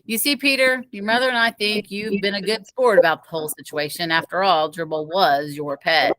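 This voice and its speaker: A woman voicing a calm, reassuring father in a children's storybook. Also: medium pitch, brisk, no room sound, pitched below the performer's own voice, natural voice, rough recording. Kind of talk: children's storybook read-aloud.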